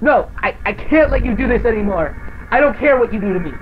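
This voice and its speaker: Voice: medium pitch, animated, fast talker, lo-fi sound, music in the background, close to an everyday voice. An actor voicing a timid, worried talking sheep, a hesitant, bleating sidekick in a children's cartoon inside a horror game. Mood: desperate, pleading.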